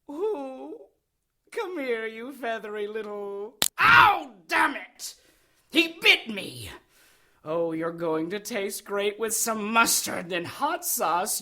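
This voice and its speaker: A man's voice: sits high, big pitch swings, slow, pushed and bright, heavily acted. An actor playing an impudent, wheedling little henchman in an audio drama, sardonic, glib, mocking and giggly. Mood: gleeful, irritated.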